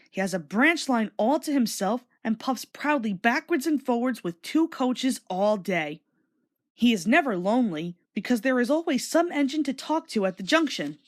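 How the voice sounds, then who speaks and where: A woman reads a children's story as narrator. Her voice is high and very lively, rising and falling expressively through the scripted lines.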